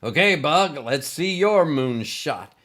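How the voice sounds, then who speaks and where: A man's voice: on the high side, expressive, slow pace, fairly bright tone, pitched above the performer's own voice. A man voicing an exuberant, teasing best friend in his thirties, boisterous and crowing. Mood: teasing glee.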